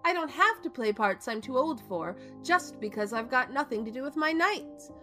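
A woman's voice: high-pitched, lively intonation, normal speed, fairly bright tone, faint music behind. A woman acting in an audio drama as an outspoken middle-aged leading actress, theatrical, blunt and emphatic. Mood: earnest, emphatic.

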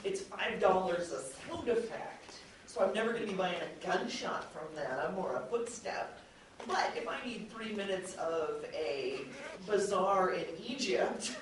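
A woman's voice: mid-range voice, very echoey, recorded from a distance, rough recording. A woman speaks as a chatty panelist, explaining things informally with examples. The room rings heavily around her, and she sits away from the mic with faint noise in the recording. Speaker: audio drama producer on a panel.

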